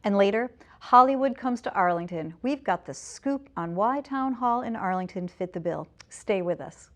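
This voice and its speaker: A woman's voice: mid-range voice, animated, moderate pace, a little hiss or hum. A woman with an American accent reads scripted local news as an anchor.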